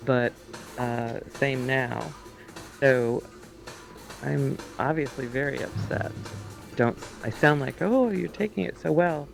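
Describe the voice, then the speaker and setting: A middle-aged woman with a calm, soothing voice, talking as a guest in a heartfelt conversation, gentle and measured. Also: extremely low pitch, gravelly.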